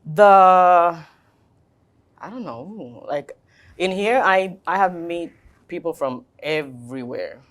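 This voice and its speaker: A woman's voice: fairly low pitch, lively intonation, slow. A woman from the Republic, giving short, hesitant answers to a student interviewer in a school studio. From Dominican Republic.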